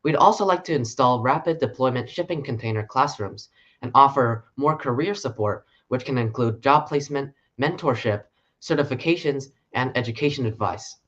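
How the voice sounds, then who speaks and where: A man presenting a short conference talk in an earnest, steady way. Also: medium pitch, normal speed, muffled recording. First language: English.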